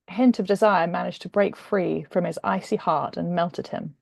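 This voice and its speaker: A woman author reading her humorous romance novel aloud in expressive, dramatic narration with deliberate pauses. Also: on the low side, gravelly, no room sound, studio quality.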